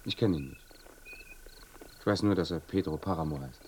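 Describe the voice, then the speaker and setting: A man's voice: low voice, moderate pace, warm tone, a little hiss or hum, close to an everyday voice. An actor playing an earnest, puzzled traveller searching for his father in a radio play, with a questioning manner. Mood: earnest, curious. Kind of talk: German radio play acting.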